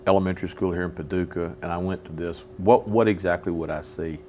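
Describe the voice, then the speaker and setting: A man hosting a local TV chat in a very low voice. He talks in a chatty way, stumbling and repeating words while he looks for the right one. A faint hiss sits under the studio recording. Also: fairly even intonation, fast talker, warm tone. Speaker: host. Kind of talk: casual interview.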